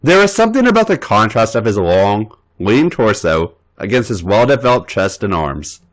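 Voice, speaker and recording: A man's voice: on the low side, big pitch swings, normal speed. A man reading erotic fan fiction aloud as a podcast host, playful and theatrical.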